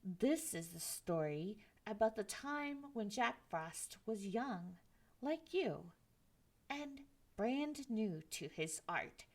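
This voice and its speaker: A woman storyteller reading a children's story aloud in a gentle, expressive way. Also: mid-range voice, slow pace. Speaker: library storyteller.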